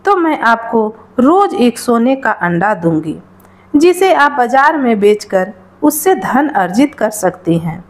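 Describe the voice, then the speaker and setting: A woman's voice: a little high, big pitch swings, slow pace. A woman voicing a small magical female bird in a storybook tale, speaking in a formal, imploring, polite manner. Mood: earnest.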